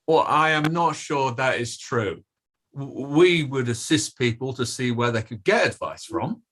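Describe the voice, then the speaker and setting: An actor playing a defensive, evasive company safety official testifying at an inquiry in a play reading, formal and guarded. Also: male voice, medium pitch, a little slow, a bit bright. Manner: guarded, formal, clipped denials with hesitant hedging. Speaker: actor in an online table read.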